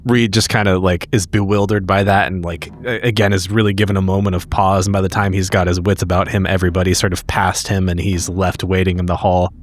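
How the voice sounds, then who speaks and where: Very low-voiced man narrating his game character. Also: flat delivery, fairly quick, hardly any pauses. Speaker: tabletop game player.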